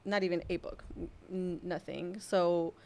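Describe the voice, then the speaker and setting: Soft-spoken, hesitant young woman from Mexico. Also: lowish voice, steady tone, a little slow, vocal fry. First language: Spanish. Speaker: guest, mother. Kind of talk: casual personal storytelling.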